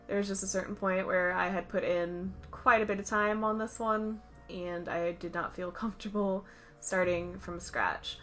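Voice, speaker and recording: A woman talking over a drawing video, slightly raspy from a cold, chatty and self-critical as she explains each step. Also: mid-range voice. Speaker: art channel host. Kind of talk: voice-over narration of a drawing process.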